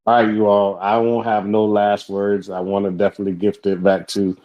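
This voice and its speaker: A man with a deep voice, co-hosting a casual support group chat in an affirming, easygoing way. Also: little pitch movement, brisk, warm tone. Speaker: co-host.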